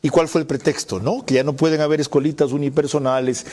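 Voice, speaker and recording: Middle-aged man, assertive radio commentator, somewhat high voice, studio mic. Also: fairly even intonation, fast talker, warm tone. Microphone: studio mic on a desk.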